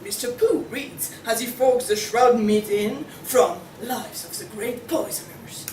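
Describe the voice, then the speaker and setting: A woman's voice: a little high, unhurried, a little mellow. A young woman reading scripted narration as a student narrator at a play table read. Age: young adult. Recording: echoey room, distant mic, faint noise.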